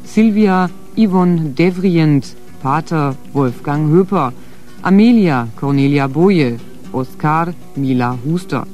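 A man's voice: on the high side, animated, slow, darkish tone, faint music behind. A man formally reading out scripted closing credits as a radio announcer.

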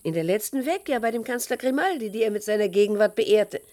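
A woman's voice: medium pitch, animated, quick pace, mellow, natural voice. An actress playing a doting, proud, genteel middle-aged mother, with refined theatrical diction in classic radio drama style. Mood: proudly delighted. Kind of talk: 1950s German radio drama acting.

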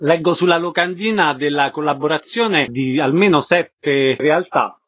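A man's voice: fairly high pitch, a little slow, fairly bright tone, no echo, highs cut off. A man working as a radio interviewer, putting questions to a guest.

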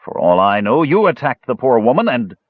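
A man voicing a stocky, suspicious male elf villager with a loud, belligerent manner in an audiobook. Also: mid-range voice, very animated, brisk, dry recording, phone-quality sound, pitched above the performer's own voice. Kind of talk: audiobook character voice.

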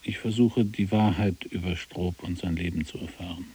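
An actor playing a somber prisoner who narrates his memoir in a German radio drama, raspy, muted and restrained. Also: low-pitched, fairly even intonation, moderate pace, warm tone.

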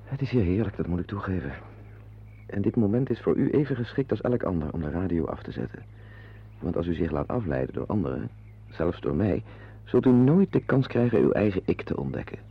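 A man's voice: low voice, animated, brisk, vocal fry, breathy edge, full character voice. A man playing a weary, wry playwright who narrates a radio play, confiding in listeners in a dry, digressive way. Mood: musing. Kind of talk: intimate first-person radio play narration. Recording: dull lo-fi radio play recording.

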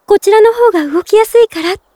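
A woman playing a gentle, polite young woman in love, narrating in character for a drama CD with sweet earnestness. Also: very high voice, fast, dry recording, clean studio sound. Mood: fond, serene.

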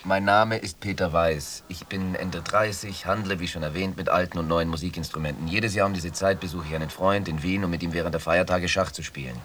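A man's voice: deep voice, fairly even intonation, a little fast, hardly any pauses, fairly bright tone, a little hiss or hum. A man acting in a German radio drama as a wary captive dealer in his late thirties, speaking tersely and formally, full of suspicion. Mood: guarded. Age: 30s.

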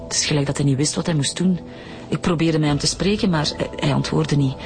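An actress playing a shaken, evasive wife who recounts her abduction haltingly in a Flemish accent, in a radio drama. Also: low voice, gravelly. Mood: shaken, hesitant. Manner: hesitant, halting, repeating words. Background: music.

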